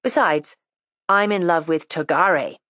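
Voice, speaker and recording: A woman's voice: fairly low pitch, slow pace, pushed and bright, no room sound, muffled recording. A woman reading the part of a precocious, matter-of-fact teenage schoolgirl who chats candidly and primly. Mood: earnest. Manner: chatty, candid and prim.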